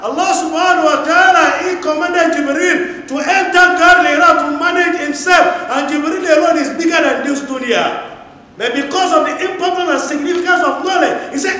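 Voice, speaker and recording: A middle-aged man with a Nigerian English accent giving a Friday sermon in a mosque, swinging between loud exhortation and quiet asides. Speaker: imam. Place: large tiled mosque hall. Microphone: lapel mic. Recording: booming echo of a large hall.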